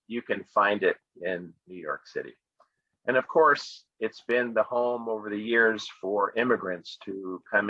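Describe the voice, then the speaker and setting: An American man, middle-aged, giving a relaxed, friendly talk on travel spots to an online English conversation club over a video call. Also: lowish voice, dry recording. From United States. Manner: relaxed and friendly, speaks clearly with fillers and laughs. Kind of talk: casual presentation on travel spots. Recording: dull lo-fi video call audio.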